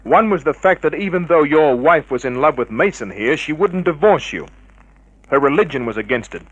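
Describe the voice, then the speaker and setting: An actor playing a tough, cynical private investigator in a 1950s radio crime drama, clipped and hard-boiled. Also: male voice, fairly high pitch, expressive, normal speed, dry recording, dull audio. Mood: confrontational. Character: tough, cynical male private investigator narrating his case.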